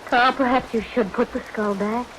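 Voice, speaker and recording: An actress playing an uneasy, sensible wife in a vintage radio horror play, apprehensive and pointed. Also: female voice, on the high side, steady tone, normal speed, background noise, natural voice. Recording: old radio recording with rain. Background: rain.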